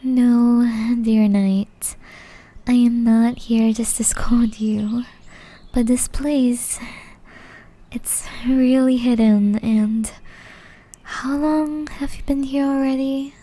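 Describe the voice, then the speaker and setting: A woman playing a gentle, caring, centuries-old witch in an ASMR roleplay, speaking softly and breathily, often in a whisper, with tender teasing. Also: on the high side, steady tone, drawn-out words. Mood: firm, caring. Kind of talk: ASMR roleplay, intimate scripted acting.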